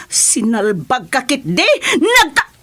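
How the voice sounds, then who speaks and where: A woman's voice: high-pitched, wildly swooping pitch, slow pace, bright, forceful tone, heavily acted. A woman with an Ilocano accent playing a bossy, exclamatory female village captain in a radio comedy drama. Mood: scolding. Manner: exclamatory, scolding.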